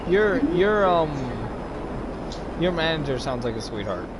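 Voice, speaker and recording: Man chatting casually with very swooping pitch. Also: very animated, a little slow, very noisy. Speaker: friend listening and asking questions. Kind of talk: casual chat.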